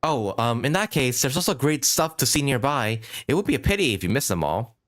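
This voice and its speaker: A man's voice: medium pitch, very animated, fairly quick, a bit bright. A man reading game dialogue aloud as a boastful, eager little female fairy tour guide. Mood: eager, persuasive. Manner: eager, self-important, talks in third person.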